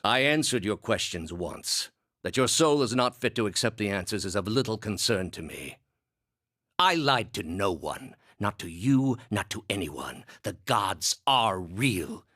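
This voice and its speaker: A man voicing an ancient, zealous inquisitor villain in a fantasy video game, speaking in a measured, formal, condescending way with cold disdain. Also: sits low, fairly bright tone, dry recording, clean studio sound, full character voice. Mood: dismissive, then solemn, unrepentant.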